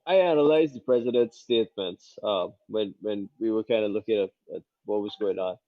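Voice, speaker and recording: A man's voice: on the low side, brisk, dry recording. A man giving conversational analysis as a guest on a political panel. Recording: dull, dry, lo-fi audio.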